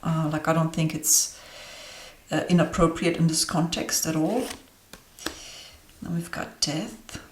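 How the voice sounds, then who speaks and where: A woman's voice: low-pitched, steady tone, measured pace, reverberant, slight background noise. A woman whose first language is Romanian, talking casually through a tarot deck review with many fillers and self-corrections. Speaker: tarot reader. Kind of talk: casual deck review while showing cards.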